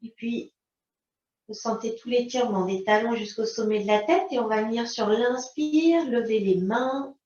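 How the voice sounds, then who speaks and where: A woman teaching yoga, giving calm, unhurried guidance in short phrases. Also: medium pitch. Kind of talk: guided yoga and relaxation instruction. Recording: roomy, distant mic, dull sound.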